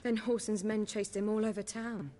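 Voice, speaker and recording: An actress playing a sharp-tongued, worldly woman in a video game, with a sardonic, dry manner. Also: mid-range voice, steady tone, fairly quick, in a large hall, a little hiss or hum, natural voice.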